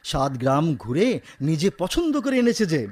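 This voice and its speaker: Playful, high-voiced young husband. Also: male voice, big pitch swings, moderate pace, a little mellow. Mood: amused, admiring. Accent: Bangladeshi.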